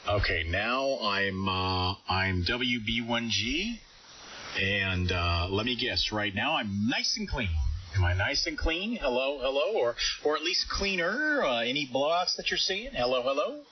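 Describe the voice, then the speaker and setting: A man's voice: mid-range voice, very animated, measured pace. A man leading a casual, technical amateur radio chat, heard over a radio transmission. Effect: ham radio transmission. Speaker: amateur radio operator leading an on-air contact. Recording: dull hissy shortwave radio audio, distant.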